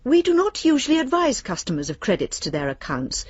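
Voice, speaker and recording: An actress playing a courteous, officious British bank lending advisor reading formal letters in a radio drama. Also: female voice, sits high, huge pitch swings, brisk, husky, no room sound, highs cut off, exaggerated voice. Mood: formally courteous.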